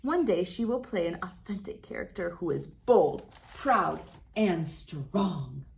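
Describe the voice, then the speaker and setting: Expressive woman reading a picture book aloud. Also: fairly low pitch, creaky, a little hiss or hum. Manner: dramatic, deliberate phrasing with emphasis. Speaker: read-aloud host.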